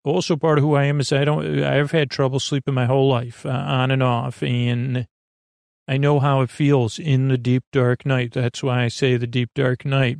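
A man hosting a bedtime podcast in a soft, gentle, rambling monologue, halting often and circling back as he loses his thread. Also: mid-range voice, little pitch movement, creaky, no echo, studio quality. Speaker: podcast host.

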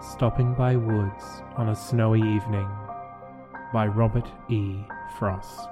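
Male poetry reader, low voice, very unhurried and deliberate, music clearly underneath. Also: steady tone. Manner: measured, deliberate phrasing. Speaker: podcast host and poetry reader. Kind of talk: slow poetry reading.

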